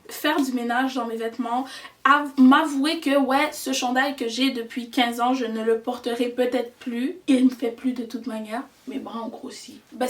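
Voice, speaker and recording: A woman's voice: on the high side, far from the mic. A young woman giving earnest, chatty life advice in a vlog, slipping in English words. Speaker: vlogger.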